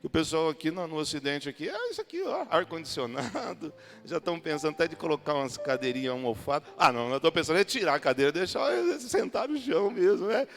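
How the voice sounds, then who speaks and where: A man's voice: fairly high pitch, sing-song, quick pace, vocal fry, few pauses, a bit bright. A man with a Brazilian Portuguese accent, speaking as a pastor in a colloquial, joking way as he introduces a guest to a church. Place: church hall. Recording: reverberant hall with music.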